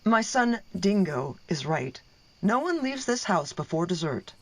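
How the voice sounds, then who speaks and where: A woman's voice: fairly low pitch, big pitch swings, unhurried, heavily acted, low-quality recording. A woman playing a firm, slightly exasperated mom in a radio play.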